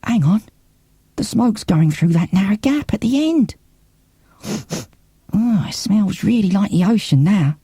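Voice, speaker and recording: Man putting on a hesitant, husky, high-voiced lad. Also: lively intonation, unhurried, long pauses, dark tone, no echo, clean studio sound, exaggerated voice. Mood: wondering. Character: Milo, uncertain, wondering young lad with London street talk. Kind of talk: dramatic audiobook character reading. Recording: cassette audiobook.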